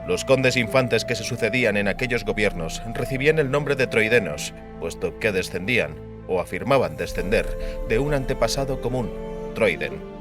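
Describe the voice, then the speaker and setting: A man reading a fantasy audiobook as narrator, in a measured, literary storytelling manner. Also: on the low side, lively intonation. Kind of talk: dramatized scripted fantasy narration. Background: faint music.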